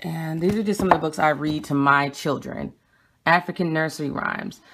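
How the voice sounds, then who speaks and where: A young woman vlogging at home with a phone camera, talking in a blunt, emphatic and quick way as she shows books. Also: deep voice, fairly bright tone. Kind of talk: casual home vlog showing books. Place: small room at home, indoors. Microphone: phone camera held at arm's length.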